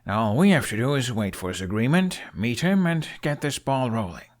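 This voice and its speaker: A man voicing an eager, nervy teenage Viking boy in an audiobook, animated and halting as he thinks aloud. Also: medium pitch, voice pushed up, lightly acted. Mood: insistent, confident.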